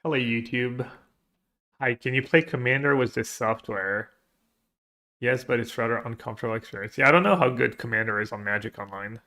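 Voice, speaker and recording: Bright-voiced man streaming a card game. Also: mid-range voice, brisk. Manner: thinking aloud, planning plays; chatty and casual with viewers. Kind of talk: live gameplay commentary, reading chat.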